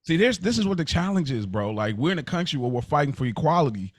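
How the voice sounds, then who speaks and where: A man from the United States talking as a podcast host, casual and slangy, thinking aloud in a halting, reflective way. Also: on the high side, big pitch swings, dry recording, clean studio sound.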